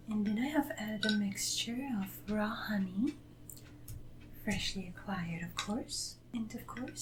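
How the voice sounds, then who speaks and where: A woman playing a devoted, deferential Regency lady's maid in a soft-spoken, often whispered ASMR roleplay, with formal, gentle speech. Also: medium pitch, noisy background, natural voice.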